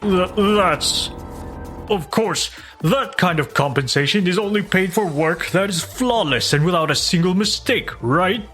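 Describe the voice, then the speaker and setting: A man's voice: high voice, animated, measured pace, a bit bright, faint music behind. A man playing a controlling, scornful older husband in a manga dub, blustering as he speaks. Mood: shocked, indignant. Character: controlling, arrogant older husband, a retired teacher. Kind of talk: acted manga dub dialogue.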